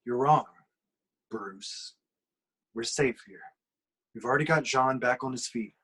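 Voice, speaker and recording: A man playing an earnest, indignant superhero leader in a film-script table read, insistent and challenging, with a husky voice. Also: medium pitch, measured pace, pushed and bright, low-quality recording. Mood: firm, reassuring.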